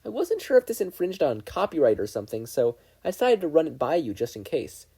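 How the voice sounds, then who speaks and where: An earnest, excitable teenage boy student, voiced by a man in an audiobook. His voice is pitched up and swings around eagerly, with a polite, gushing manner. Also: brisk, creaky, breathy edge, exaggerated voice. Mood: earnest, hopeful. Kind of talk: audiobook character voice.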